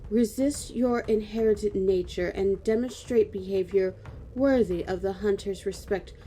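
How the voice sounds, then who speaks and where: A woman playing a haughty, scornful elf in a fantasy audio drama, measured and condescending. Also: mid-range voice, dark tone, slight background noise, natural voice, low-quality recording.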